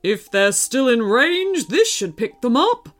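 A woman's voice: fairly high pitch, very animated, measured pace. Woman voicing a timid, polite little storybook teddy bear. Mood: earnest, helpful. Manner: hesitant, polite, apologetic. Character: small polite nervous male teddy bear come alive.

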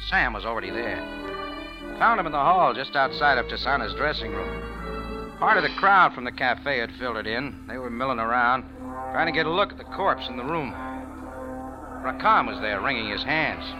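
An actor in a 1940s radio drama playing a tough, wry, unruffled cafe owner who narrates in a clipped, hard-boiled manner, on an old radio recording. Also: male voice, mid-range voice, very animated, moderate pace, music in the background, natural voice, poor audio. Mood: hard-boiled, narrating.

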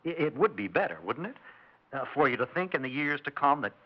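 A man's voice: medium pitch, sing-song, fast, natural voice. An actor playing a smug, evasive houseguest who needles his hosts with mock courtesy in a 1960s radio drama. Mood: teasing. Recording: dull lo-fi 1960s radio recording.

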